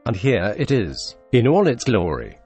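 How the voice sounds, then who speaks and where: Deadpan Russian-accented man, dry humor. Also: mid-range voice, unhurried, slightly rough, faint music behind. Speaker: tutorial narrator. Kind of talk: scripted screen-recorded tutorial voice-over.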